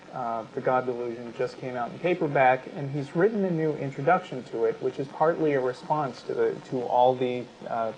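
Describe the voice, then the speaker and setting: A man hosting a talk show, giving conversational commentary in a halting way, as if thinking aloud. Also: medium pitch, a little mellow. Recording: muffled lo-fi audio with background noise.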